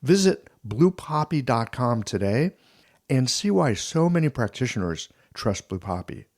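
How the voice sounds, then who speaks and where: A man reading a smooth, promotional sponsor message. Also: medium pitch, sing-song, measured pace, darkish tone. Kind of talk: scripted ad read.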